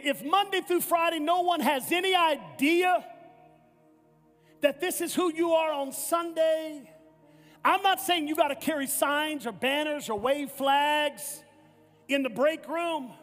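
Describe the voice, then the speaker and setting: Male pastor, very high voice, emphatic repetitive delivery, reverberant sanctuary with faint music. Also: a bit bright. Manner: emphatic repetitive preaching with stammered restarts. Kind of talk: sermon. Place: church sanctuary. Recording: echoey hall with faint music.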